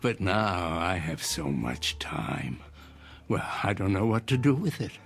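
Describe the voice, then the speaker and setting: An actor playing an eccentric older inventor in an audio drama, speaking in a formal, measured, philosophical and persuasive way. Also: male voice, sits low, very animated, creaky, exaggerated voice. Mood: musing. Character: eccentric old inventor, courteous, cryptic, philosophical stranger.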